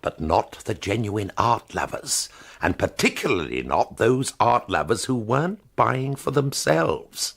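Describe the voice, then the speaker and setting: An actor playing an anxious, wry forger caught up in a murder case in a radio drama, hesitant and doubtful. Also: male voice, on the low side, sing-song, measured pace, creaky, fairly bright tone, slightly rough, no room sound, exaggerated voice. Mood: reasoning. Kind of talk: radio drama acting with first-person narration.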